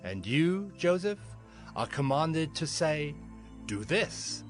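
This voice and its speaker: A commanding Egyptian king, slow and regal, with wide swings in pitch. He declaims generously in a scripture drama over quiet music. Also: very animated. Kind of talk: dramatized scripture audio acting. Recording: music behind the voice.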